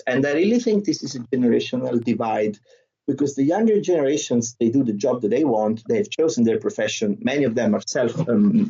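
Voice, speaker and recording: A husky-voiced man with an Italian accent talks through an interview, animated and quick to laugh, often restarting his words. The audio sounds dull and a little lo-fi. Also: medium pitch. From southern Italy. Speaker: interview guest, comedian. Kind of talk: conversational interview.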